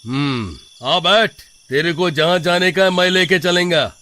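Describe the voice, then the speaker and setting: A man voicing a menacing, gloating two-headed ghost rickshaw driver in a horror cartoon story, slow and threatening, given to evil laughter. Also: high voice, sharp tone, a little hiss or hum. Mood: gruff, sly.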